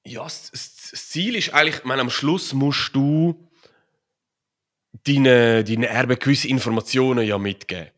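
A man's voice: medium pitch, unhurried, a bit bright. A man with a Swiss German accent, a podcast guest explaining things in a rambling, casual way with many fillers and tag questions. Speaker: podcast guest, author and crypto consultant. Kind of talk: casual conversational interview.

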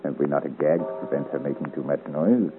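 An actor playing a bold, menacing rogue captain, swaggering and villainous, in 1950s radio serial style. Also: male voice, a bass voice, brisk, over music. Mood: swaggering menace. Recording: tinny vintage radio recording.

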